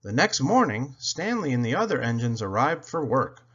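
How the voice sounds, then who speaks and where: A man reading a children's story aloud in an expressive, dramatic, deliberate way. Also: medium pitch, breathy edge, dull audio. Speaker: storybook reader.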